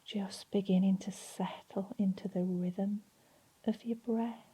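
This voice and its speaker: Hushed, breathy woman guiding meditation. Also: medium pitch, steady tone, poor audio. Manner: soft, breathy, soothing delivery with long pauses. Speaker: meditation and relaxation instructor. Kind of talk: guided relaxation meditation.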